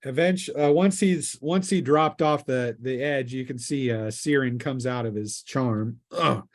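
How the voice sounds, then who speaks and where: A man's voice: mid-range voice, measured pace. A man acting as game master, narrating a tabletop role-playing game in a casual, descriptive, conversational way.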